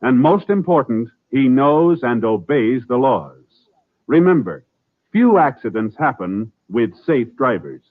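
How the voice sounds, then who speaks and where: An American man announcing on 1950s network radio, reading scripted narration in a formal, dramatic and deliberate style. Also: medium pitch, big pitch swings, no echo. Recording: tinny old radio broadcast with music.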